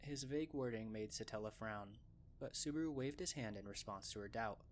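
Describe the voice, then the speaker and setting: A man's voice: on the low side, fairly quick, a little hiss or hum. A man narrating a scripted story in an audiobook style.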